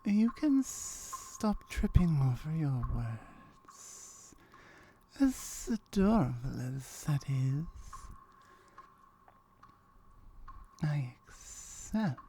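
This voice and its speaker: Woman voicing a slow, murmuring, wary gorgon woman. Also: low-pitched, big pitch swings, mellow, a little hiss or hum, exaggerated voice, low-quality recording. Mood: teasing, intrigued. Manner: slow, drawn-out syllables, breathy murmuring. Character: aloof, wary, lonely female gorgon, slyly flirtatious. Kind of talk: ASMR roleplay acting.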